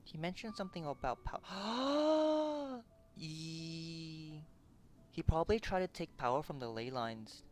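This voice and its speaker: A woman streaming a story game, dropping quiet, offhand reactions and jokes. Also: low-pitched, expressive, slow, slight background noise. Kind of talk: casual playthrough commentary. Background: game music.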